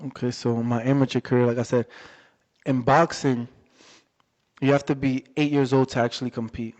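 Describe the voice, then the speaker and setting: A young man being interviewed about his boxing life, talking in a relaxed, halting way with many fillers. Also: medium pitch. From Worcester, Massachusetts area. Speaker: boxer, interview guest. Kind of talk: casual interview chat. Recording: dull lo-fi audio in a reverberant room.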